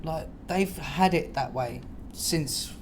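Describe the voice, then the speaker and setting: Higher-voiced man chatting, sweary and slangy. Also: takes it slowly, a little hiss or hum. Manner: animated storyteller, sweary, slangy, mimics noises and dialogue. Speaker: podcast host. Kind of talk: casual podcast banter.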